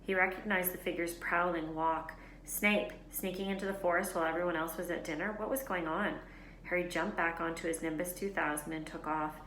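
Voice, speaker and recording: A woman teacher reading a children's novel aloud in a lively, expressive way, fixing small slips as she goes. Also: on the low side, a little fast. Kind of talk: storybook read-aloud narration. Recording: roomy echo, faint background hiss.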